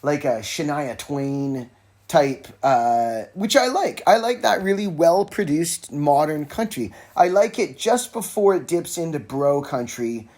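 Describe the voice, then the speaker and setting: A man's voice: on the high side, expressive, unhurried, darkish tone. A man hosting a podcast alone, rambling casually about music with wry, informal asides and frequently interrupting himself. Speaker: podcast host. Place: informal solo recording with a phone at hand.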